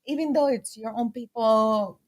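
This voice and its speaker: Guest, weiblich, 40er Jahre, ricanischer Akzent, offen erzählend, mischt Spanisch ein. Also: female voice, fairly high pitch, moderate pace. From Puerto Rico. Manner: candid and storytelling, switching into Spanish phrases. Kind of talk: casual interview.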